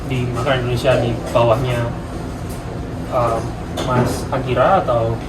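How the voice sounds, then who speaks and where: A man interviewing kitchen staff in a casual way, keen and quick to affirm what he hears. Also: medium pitch, flat delivery. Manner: eager, frequent affirmations. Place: restaurant kitchen. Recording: roomy, distant, loud kitchen noise.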